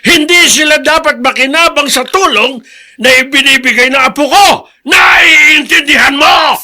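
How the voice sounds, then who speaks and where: Casting: stern old Filipino patriarch, high, piercingly forceful and rough, for radio drama. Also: male voice, measured pace, exaggerated voice. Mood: angry, commanding. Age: older. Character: stern, bitter elderly family patriarch and father.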